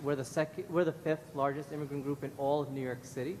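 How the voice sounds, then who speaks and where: A man's voice: fairly even intonation, quick pace, a little mellow. A man giving earnest, formal prepared testimony as a member of the public at a hearing. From Guyanese background. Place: large indoor public hearing room. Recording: echoey hall, clear rumbling room noise.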